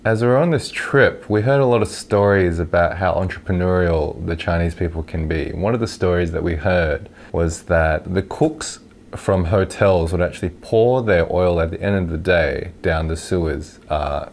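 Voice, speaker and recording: Low-voiced Australian man vlogging. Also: normal speed, a little mellow, slight background noise. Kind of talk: casual vlog storytelling.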